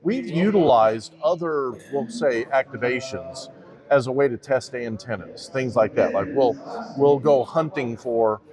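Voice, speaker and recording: A man's voice: medium pitch, lively intonation, measured pace, a crowd in the background. A man speaking casually and conversationally as an interviewer. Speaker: interviewer and channel host.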